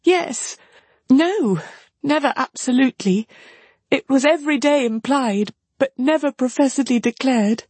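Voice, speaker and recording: A woman's voice: sits high, unhurried, darkish tone, husky, no room sound, voice pushed up. A woman voicing a passionate, despairing young sister in an anguished, exclamatory way, as in a classic novel reading. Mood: distressed.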